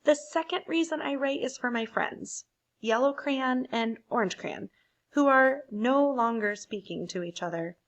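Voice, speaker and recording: Polite peacemaking storybook crayon, read by a woman, higher voice, slow and measured. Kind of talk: picture book read aloud.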